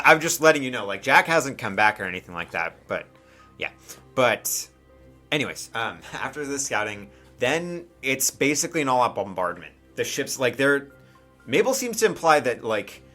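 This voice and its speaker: A man casually improvising narration as a game master, informal and halting, with frequent asides. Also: medium pitch, pushed and bright.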